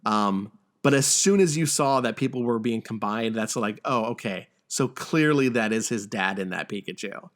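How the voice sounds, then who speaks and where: A man hosting a podcast, talking casually through a film's plot with a halting, stammering delivery. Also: fairly low pitch. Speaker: podcast host. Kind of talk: casual film discussion and plot recap.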